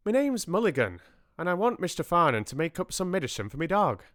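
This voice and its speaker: Grave, precise deaf gentleman for an audiobook; high, emphatic, richly accented, with big swings in pitch. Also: big pitch swings, takes it slowly, no room sound, studio quality, heavily acted. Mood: solemn, emphatic. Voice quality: rich accented voice. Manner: emphatic, repeats himself. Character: solemn, precise, hard-of-hearing gentleman, slow and gentle. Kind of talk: audiobook character dialogue.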